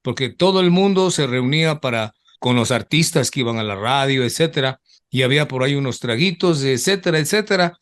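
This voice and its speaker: A man's voice: mid-range voice, animated, a little fast, a bit bright. Older man, former radio announcer. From Ecuador. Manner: rambling storyteller, trails off with repeated asides. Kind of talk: reminiscing in an interview.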